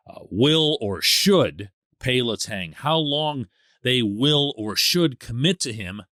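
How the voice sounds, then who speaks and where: A man hosting a sports podcast, giving conversational solo commentary with emphatic, deliberate phrasing and dramatic pauses. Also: mid-range voice, bright, forceful tone, dry recording, clean studio sound.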